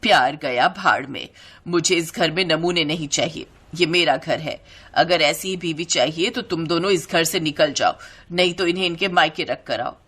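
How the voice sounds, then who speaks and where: Stern older mother-in-law played by a female narrator, lowered voice, bossy and grumbling, storybook family drama. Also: moderate pace, fairly bright tone, breathy edge, exaggerated voice. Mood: exasperated, angry. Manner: scolding, grumbling.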